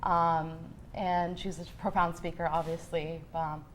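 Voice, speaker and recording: Female interview guest from Sudan, lowish voice, conversational, some background noise. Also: flat delivery, a little slow. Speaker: fellowship guest, marketing professional.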